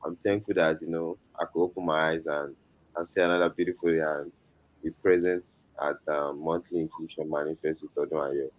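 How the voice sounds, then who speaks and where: A man's voice: extremely low pitch, level tone, a little fast, highs cut off. A man from Nigeria with a Nigerian accent, chatting casually as a comedian guest on a talk show.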